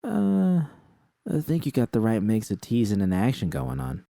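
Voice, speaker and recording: A brash young streetwise woman from a cyberpunk audio story, voiced by a man. The pitch is raised, and the talk is blunt, slangy and pushy, like a partner who needles and nags. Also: sing-song, normal speed, vocal fry, mellow, no room sound, clean studio sound. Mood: teasing, earnest. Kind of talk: character dialogue in audio fiction.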